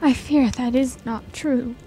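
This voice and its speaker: A woman playing a gentle, troubled girl in a fantasy radio drama, speaking in an earnest, plaintive way. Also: high voice, steady tone, takes it slowly, soft background music, poor audio. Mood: fearful, grieving. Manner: earnest, plaintive, simple formal phrasing. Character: gentle, troubled girl, an unwanted only child's sister.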